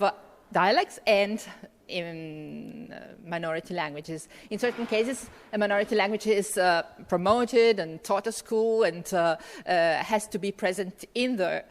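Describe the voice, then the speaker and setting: A woman giving a keynote lecture and answering questions, with many fillers and restarts. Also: medium pitch. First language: Italian. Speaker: keynote speaker. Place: conference hall. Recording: long reverb of a lecture hall.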